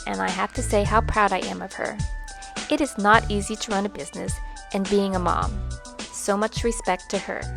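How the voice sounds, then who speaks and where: A woman's voice: mid-range voice, gravelly. A woman hosting her own vlog, narrating in an upbeat, bubbly voice-over. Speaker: vlog host and singer.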